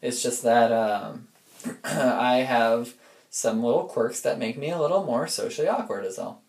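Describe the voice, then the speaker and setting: A man records a casual personal audio log in an echoey room. His voice sits at an average male pitch. He talks informally and reflectively, wandering through his thoughts as he goes. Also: a little slow, vocal fry, darkish tone. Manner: informal, reflective, loses and regains his thread. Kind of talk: casual rambling personal monologue.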